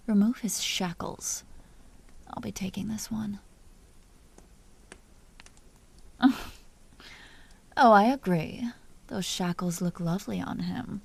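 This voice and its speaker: Vampire duchess, played by a woman: seductive, imperious and teasing, dark soft tone, unhurried, intimate audio roleplay with whispered phrases. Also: mid-range voice. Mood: amused, commanding. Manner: slow, breathy delivery dropping often into whispers. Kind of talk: scripted audio roleplay, intimate monologue to listener.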